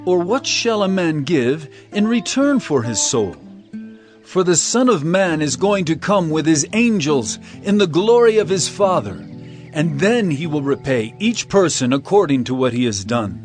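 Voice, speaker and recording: Admonishing holy teacher, declamatory, animated intonation, audio Bible drama, music underneath. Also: male voice, on the high side, a little slow. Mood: earnest. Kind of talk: dramatized scripture reading.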